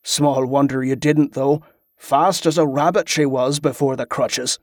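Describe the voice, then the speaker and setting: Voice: medium pitch, fairly even intonation, measured pace, no echo, clean studio sound, lightly acted. A performer voicing a sternly kind, fatherly country man in an audiobook, scolding in rustic turns of phrase. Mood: wry scolding.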